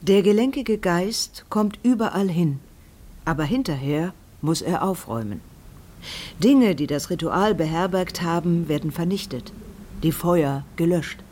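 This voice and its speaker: An actor playing a grave teacher of ancient ritual lore in a radio drama, speaking in a measured way. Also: mid-range voice, animated, darkish tone.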